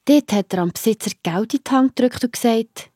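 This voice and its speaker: Slightly husky, mellow Swiss German woman narrator. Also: on the high side, fairly quick, no echo, clean studio sound. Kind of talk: scripted children's story narration, addressing the listener.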